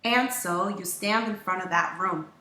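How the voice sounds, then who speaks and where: A woman reads a picture book aloud at a slow, deliberate pace, leaving dramatic pauses between phrases. Her voice is bright and expressive, heard a little away from the mic in a roomy, echoing space. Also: medium pitch. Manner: measured, dramatic pauses, acts out quoted lines. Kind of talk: expressive children's storybook read-aloud. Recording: roomy echo, distant from mic.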